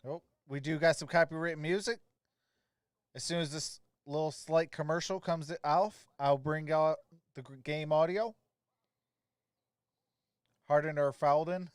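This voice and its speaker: A man calls a basketball game casually for his livestream. His voice is a bit high and sounds close and dry, with little room echo. He mixes short play calls with offhand chat. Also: steady tone, a little slow, pauses often, clean tone. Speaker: sports livestream host.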